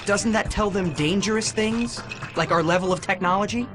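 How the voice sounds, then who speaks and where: Man acting as cocky young space pilot, teasing younger brother, higher male voice, game cutscene, music behind. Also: moderate pace, lightly acted. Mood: curious. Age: young adult. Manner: cocky, wisecracking.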